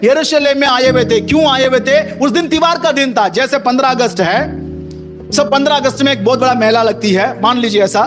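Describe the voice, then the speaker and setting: A man's voice: very high-pitched, fairly quick. A man preaching a Pentecostal sermon as pastor, exhorting his listeners with repeated phrases and prompting them to respond. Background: music.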